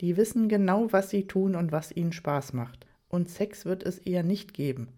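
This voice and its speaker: A cheerful male colleague, voiced by the story's narrator, recites an old Christmas poem in an audiobook. He takes each line slowly and evenly. His tone stays dark and mellow throughout. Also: high voice, lightly acted. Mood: reassuring.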